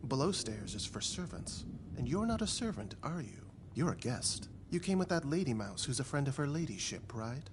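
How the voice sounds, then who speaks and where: A man's voice: medium pitch, sing-song, normal speed, natural voice. A man voicing a friendly, gossipy servant in a cartoon video game, chatty, confiding and folksy. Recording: clear ambient noise behind.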